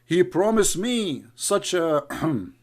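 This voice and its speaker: Sarcastic rambling guy, arabic is his first language, voice a bit on the high side. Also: sing-song, slow. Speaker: livestream host. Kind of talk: polemical livestream commentary.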